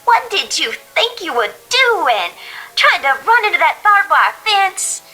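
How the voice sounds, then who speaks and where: An actress playing a breezy, slangy young female hitchhiker in an old-time radio drama, with a drawn-out, folksy delivery. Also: very high voice, very animated, pushed and bright, soft background music, full character voice, rough recording. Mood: startled, annoyed.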